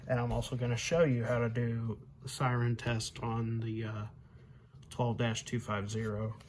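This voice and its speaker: A man aged twenty-one giving a casual, unscripted demonstration as a hobbyist, halting and pausing mid-sentence. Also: medium pitch, little pitch movement, a little mellow. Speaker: hobbyist showing weather radios. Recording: home recording with faint background noise.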